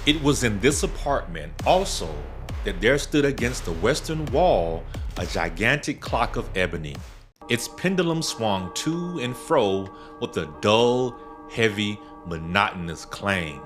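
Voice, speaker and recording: A man's voice: on the low side, big pitch swings, a little slow. A man narrating a scripted gothic horror tale in a theatrical, literary storytelling manner. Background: background music.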